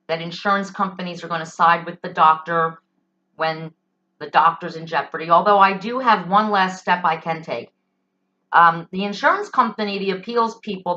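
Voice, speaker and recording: A woman's voice: on the low side, bright, forceful tone. A middle-aged American woman hosting a solo talk show, giving an emphatic, indignant opinion monologue in a conversational style. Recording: dull, lo-fi sound.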